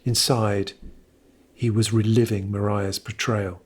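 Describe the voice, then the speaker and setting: Low, husky brooding storyteller. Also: male voice, level tone, takes it slowly. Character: narrator of a period melodrama novel, brooding and intense. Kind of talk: dramatic literary audiobook-style narration.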